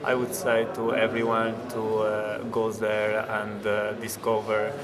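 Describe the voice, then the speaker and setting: A man's voice: fairly low pitch, monotone, a little slow. A man, answering interview questions off the cuff with some hesitation. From Portugal. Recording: loud crowd noise behind.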